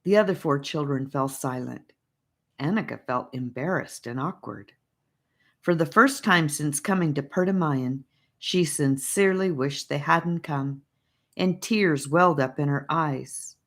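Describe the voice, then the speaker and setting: A woman narrating a children's fantasy audiobook in an expressive storytelling manner. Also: sits low, unhurried.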